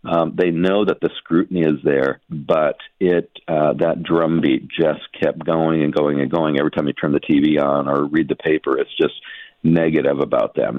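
A man with an extremely low voice speaks over a narrow, phone-like line with no room sound. He explains news in a measured, conversational way, pausing and feeling for his phrasing as a guest on a radio show. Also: no echo. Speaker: newspaper editor, radio guest.

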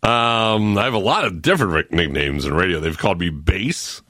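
A man with a deep voice co-hosting a radio segment, easygoing and jokey, laughing often. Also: expressive, moderate pace, sharp tone, dry recording, studio quality. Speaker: radio co-host. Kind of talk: casual radio banter. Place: radio studio. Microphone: studio mic.

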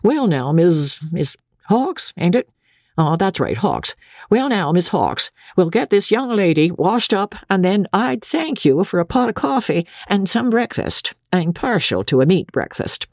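A reader voicing a blunt, bossy midwife, gravelly and folksy. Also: mid-range voice, sing-song, unhurried, a little mellow, no echo, clean studio sound, voice pushed up. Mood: brisk, folksy. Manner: folksy dialect, brusque.

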